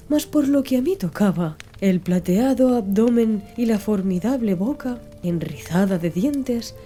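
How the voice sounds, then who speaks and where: A woman narrating a literary audiobook from a script, with measured, deliberate phrasing. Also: medium pitch, warm tone. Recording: music behind the voice. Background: music.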